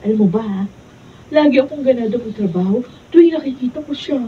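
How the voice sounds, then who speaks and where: High-pitched lovestruck young Filipina played by an actress. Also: female voice, lively intonation, quick pace, lightly acted. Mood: giddy, lovestruck. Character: lovestruck, cheerful, stubborn twenty-year-old young woman. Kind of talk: Filipino radio drama acting. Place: radio drama recording session, filmed raw. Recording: muffled, distant mic, faint noise.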